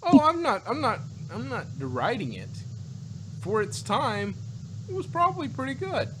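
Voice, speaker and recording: A man's voice: very high voice, very animated, moderate pace, noisy background. A man chatting casually as a review co-host, rambling and often trailing off to search for words. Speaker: podcast co-host reviewing audio drama.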